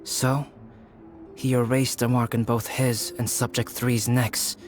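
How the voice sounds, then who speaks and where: An actor playing a calm, scholarly young male alchemist in a video game, telling things in a composed, precise, articulate way. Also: medium pitch, slow pace, soft background music, natural voice. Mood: measured, grave. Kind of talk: video game acting, measured storytelling.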